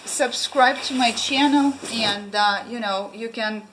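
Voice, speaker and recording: A woman's voice: on the high side, a little slow, a bit bright, slight background noise, poor audio. A young woman from Ukraine with a Russian accent, chatting casually to camera at home, hesitant with many ums and restarts. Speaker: vlogger. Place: small room at home, indoors.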